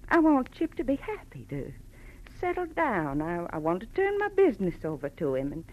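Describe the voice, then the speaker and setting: An actress playing a gentle, lonely, worried middle-aged mother with an American accent, earnest and hesitant, in 1940s radio drama style. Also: mid-range voice, wildly swooping pitch, moderate pace, muffled recording. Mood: anxious, pleading. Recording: vintage radio recording, faint noise.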